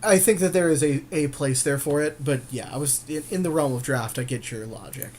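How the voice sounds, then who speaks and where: A man's voice: fairly high pitch, brisk, darkish tone, slight background noise. A man co-hosting a gaming podcast, chatting casually with a friend in a rambling, slangy way with some swearing. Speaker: podcast co-host.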